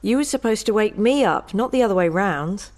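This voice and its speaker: A woman's voice: mid-range voice, a little fast, close to an everyday voice. A woman playing an eerie, entranced young woman in a horror audio story. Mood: calm, detached. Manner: flat monotone that rises to sing-song.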